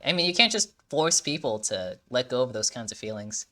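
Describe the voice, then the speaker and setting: A man's voice: medium pitch, expressive, fairly quick, pushed and bright. Chatty man commenting on his game. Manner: rambling, chatty, swears casually. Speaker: game streamer. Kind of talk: casual gameplay commentary.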